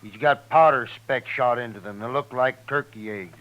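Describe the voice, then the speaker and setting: A man's voice: mid-range voice, normal speed, muffled recording, lightly acted. Man acting a resentful, rustic buffalo skinner. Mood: bitter, complaining. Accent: American. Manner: rustic, plain-spoken, grudging. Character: plain-spoken, resentful buffalo skinner, adult male. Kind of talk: 1950s radio drama acting.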